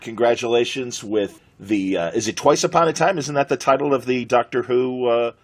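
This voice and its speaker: A middle-aged man with an American accent and a husky voice, chatting conversationally as a podcast host. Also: mid-range voice, moderate pace. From United States.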